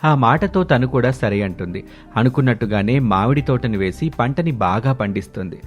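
A man's voice: mid-range voice, lively intonation, normal speed. A man narrating a scripted story as its narrator. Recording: music bed under the voice.

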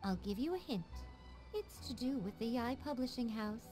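An actress playing a teasing, regal fox-eared priestess who runs a publishing house, in a game. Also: female voice, fairly high pitch, lively intonation, a little mellow, faint music behind, natural voice. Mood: sly. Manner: teasing, smooth and unhurried. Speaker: chief editor. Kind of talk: game cutscene voice acting.

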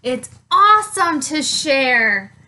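A woman in her thirties reading a picture book aloud to children, with expressive, deliberate, emphatic phrasing. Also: sits high. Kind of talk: children's read-aloud storytelling.

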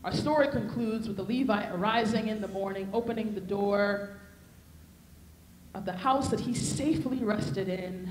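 A woman's voice: mid-range voice, normal speed, darkish tone. Young woman preaching in an echoey hall. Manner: rhythmic, repetitive preaching cadence. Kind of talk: sermon. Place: convention hall stage with podium. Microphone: handheld mic.

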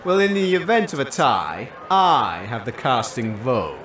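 An actor playing a dismissive talent contest judge in a comic audio drama, catty and condescending. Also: male voice, mid-range voice, very animated, unhurried, fairly bright tone. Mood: officious, dry. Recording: echoey room, slightly distant mic.

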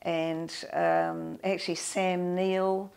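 Gravelly older New woman. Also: lowish voice, little pitch movement, drawn-out words, darkish tone. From New Zealand. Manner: reflective, with many restarts and fillers. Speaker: guest musician. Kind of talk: interview conversation. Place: indoor studio with framed awards.

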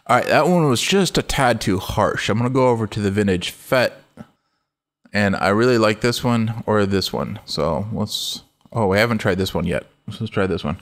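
A middle-aged man with a low voice hosting a casual music-production walkthrough, relaxed and rambling, often correcting himself mid-sentence. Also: normal speed. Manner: relaxed, rambling, self-correcting, laughs easily. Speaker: music production tutorial host. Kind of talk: casual screen-recorded walkthrough.

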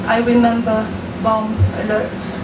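A woman raised in France reads her poem slowly and deliberately in a dim room. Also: fairly high pitch, completely flat delivery. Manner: slow, deliberate, measured reading. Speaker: poet. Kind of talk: poetry reading. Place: dim room with a lamp, indoors. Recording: echoey room, distant mic, background noise.

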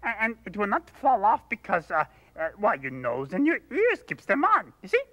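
A man's voice: high voice, wildly swooping pitch, moderate pace, pushed and bright, full character voice. An actor playing a furry blue monster salesman who pushes and over-explains his sunglasses. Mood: pushy. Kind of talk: puppet comedy sketch.

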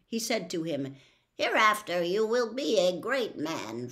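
An older woman reading a classic novel aloud at home, expressive and animated. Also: mid-range voice. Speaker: storyteller. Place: home room with bookshelves. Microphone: webcam close up.

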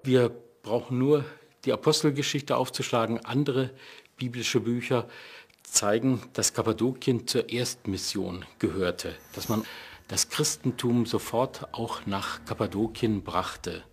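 A man explaining as an expert in a documentary interview, with measured, academic phrasing in short segments. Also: medium pitch, breathy edge.

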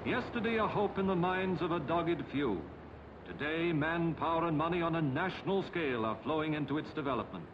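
A man's voice: fairly high pitch, lively intonation, fairly quick, background noise. A British man giving formal, declamatory narration for a 1950s public information film. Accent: British English. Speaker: documentary narrator. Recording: dull 1950 film soundtrack, music behind.

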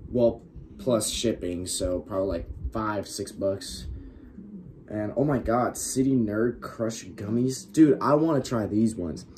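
A young man with a raspy, worn-out voice, chatting casually as host of a reaction video, filling his talk with "like" and laughing often. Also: on the low side, unhurried, a little mellow, a little hiss or hum. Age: young adult. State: raspy, losing his voice from shouting. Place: small bedroom with LED lights.

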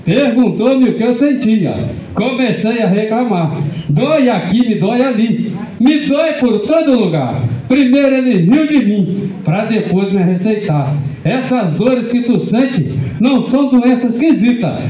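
A man's voice: very high voice, mellow. A man with a Brazilian accent, a folk clown poet declaiming rhyming verse in a rhythmic way. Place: auditorium stage, indoors. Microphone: distant camera. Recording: echoey hall, far from the mic.

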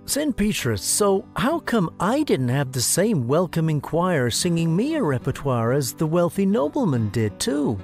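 A man's voice: a little high, wildly swooping pitch, measured pace, few pauses, a little mellow, soft background music, heavily acted. A man voicing a poor peasant farmer in a cartoon, who complains plaintively and asks questions. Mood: aggrieved. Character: grumbling poor old male peasant farmer.

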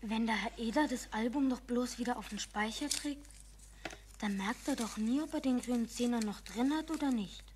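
A woman's voice: fairly high pitch, normal speed, background noise, lightly acted. A woman playing an eager young boy with a Bavarian accent in a children's radio play. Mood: furtive. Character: eager young boy, keen stamp collector.

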